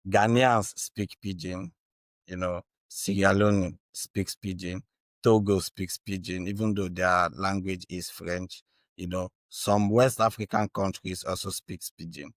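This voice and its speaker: A man's voice: deep voice, steady tone, unhurried, no room sound. A man from Nigeria with a Nigerian accent and Igbo as his first language, explaining earnestly in conversation and often checking in with small tags. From Anambra State, Nigeria. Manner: earnest, checks in with tags like "right" and "you know". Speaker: interview guest, Bitcoin educator.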